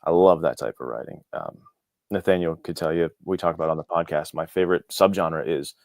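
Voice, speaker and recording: A man's voice: low-pitched, fairly quick, vocal fry, a little mellow, no room sound, studio quality. A man talking casually and informally as an interview guest, with an enthusiastic manner. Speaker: guest, indie author.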